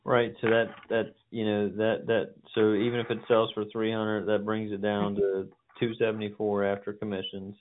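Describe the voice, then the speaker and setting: A man's voice: fairly low pitch, level tone, moderate pace, few pauses. A man, a property buyer or agent, talking bluntly and persuasively about figures on a phone call. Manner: blunt, persuasive, matter-of-fact about numbers. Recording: tinny phone line with faint noise.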